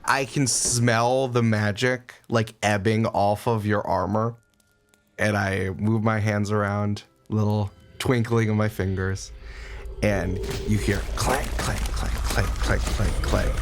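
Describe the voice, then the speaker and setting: A man's voice: lowish voice, flat delivery, slow pace. A man playing a tabletop game, casually improvising narration of his character's moves in a playful way. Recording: music bed behind the voice.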